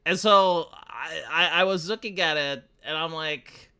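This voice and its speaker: A man's voice: fairly high pitch, a little slow, bright, forceful tone, smooth. A man from Romania chatting casually on a livestream, rambling and cursing with frequent stumbles and restarts. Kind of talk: casual streaming chat.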